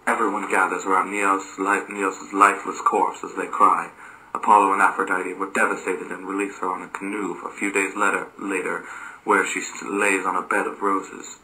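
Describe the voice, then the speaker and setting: A man narrates a story from a script in a low-pitched voice. The tone is strikingly bright and forceful. He reads aloud and now and then trips on a word. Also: poor audio.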